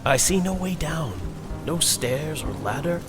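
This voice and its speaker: Man as high-pitched, lilting, careful young elf. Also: animated, over music. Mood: careful, intent. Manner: slow, careful, deliberate. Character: cautious, earnest young male elf adventurer, Tentir. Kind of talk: fantasy audio drama character.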